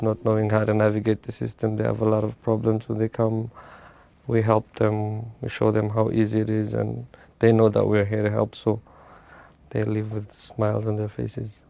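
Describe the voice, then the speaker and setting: A man who works as a social worker and interpreter, speaking slowly in a monotone during an interview. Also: lowish voice, gravelly. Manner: slow, monotone. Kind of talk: interview talk.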